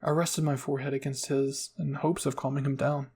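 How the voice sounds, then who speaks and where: Husky, creaky man reading a story. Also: medium pitch, little pitch movement, a little fast, a little mellow. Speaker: fan fiction reader. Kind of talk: scripted first-person story narration, ASMR roleplay reading.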